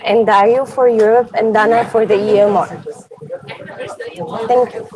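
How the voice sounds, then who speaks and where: A woman from Egypt presenting her team in an online webinar, briskly listing names and roles. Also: on the high side, steady tone, a little mellow, rough recording. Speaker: committee assistant presenting.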